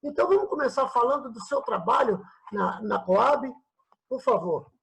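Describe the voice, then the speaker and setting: Male, São Paulo state Brazilian accent, high-pitched, raspy, friendly talk-show host, casual interviewing. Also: expressive, moderate pace, a bit bright, poor audio. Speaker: host of an online political and cultural talk show.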